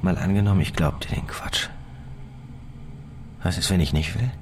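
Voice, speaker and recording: An actor with a standard German accent playing an embittered, disbelieving middle-aged police detective in a radio drama, with a blunt, sardonic way of talking. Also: male voice, sits low, normal speed, slight background noise, close to an everyday voice. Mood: disbelieving, irritated.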